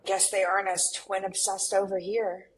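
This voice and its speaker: A woman's voice: mid-range voice, flat delivery, moderate pace, a little hiss or hum, natural voice, rough recording. A woman playing an earnest, socially conscious, awkward millennial twin in a comedy table read, with casual phrasing.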